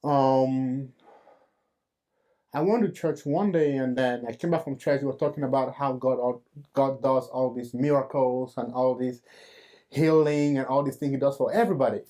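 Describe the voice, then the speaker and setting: A man, hosting and giving his own faith testimony in an earnest, halting storytelling manner with fillers and restarts. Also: mid-range voice, fairly even intonation, dark tone. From Cameroon. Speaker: host.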